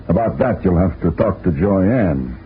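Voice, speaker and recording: A man's voice: sits low, animated, a little slow. An actor playing an idle, sardonic, brandy-drinking man with an offhand drawl, in 1950s radio drama style. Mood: sardonic, evasive. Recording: tinny, noisy old radio recording.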